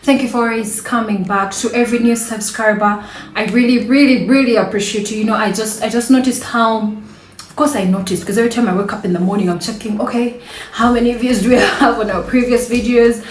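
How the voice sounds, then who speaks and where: A woman's voice: on the high side, a little fast, roomy sound, a little hiss or hum. Effusive Ugandan-born woman vlogging from her bedroom. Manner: effusive, repeats words for emphasis, laughs often. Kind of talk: casual direct-to-camera vlog.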